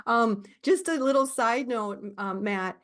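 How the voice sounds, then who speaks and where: A woman in her forties from Minnesota, hosting a casual chat, animated and encouraging. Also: a little high, measured pace. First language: English. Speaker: podcast host and Spanish teacher.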